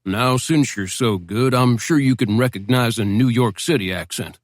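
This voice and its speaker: A man reading an audiobook voices a laconic American major from Arkansas. He talks in clipped, dry sentences at an unhurried pace, close to the reader's own voice and recorded close and dry. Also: medium pitch, no echo, close to an everyday voice. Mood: terse, dry. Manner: terse, dry.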